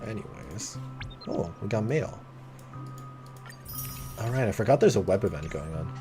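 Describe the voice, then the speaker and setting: A man streams a video game in a fairly low voice. He chats casually and thinks aloud with dry humour, while game music plays clearly behind him. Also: lively intonation, moderate pace, warm tone. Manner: chatty, thinking aloud, dryly jokey. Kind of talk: casual livestream game commentary.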